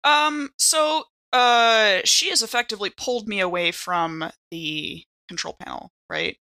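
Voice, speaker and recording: Bright, lively woman playing a tabletop game. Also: mid-range voice, a little slow, dry recording. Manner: informal, questioning, thinking aloud. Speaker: tabletop role-playing player. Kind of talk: casual game chat.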